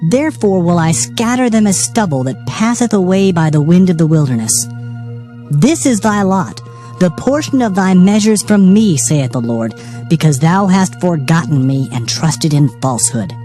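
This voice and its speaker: A woman's voice: on the low side, lively intonation, moderate pace, warm tone, soft background music. A woman narrating dramatized scripture in a solemn, declamatory manner. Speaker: Bible narrator.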